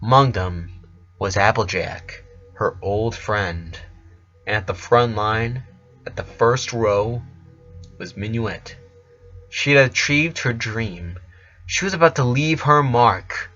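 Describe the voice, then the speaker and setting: A man narrating a scripted story as its reader, deliberately, in short separated phrases. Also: fairly low pitch, bright, forceful tone, dull audio, soft background music.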